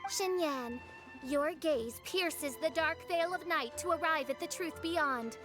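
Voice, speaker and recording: A woman playing a grandiose, solemn teenage princess in a video game, speaking in pompous archaic phrases, with music underneath. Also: takes it slowly. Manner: pompous, archaic high-flown speech.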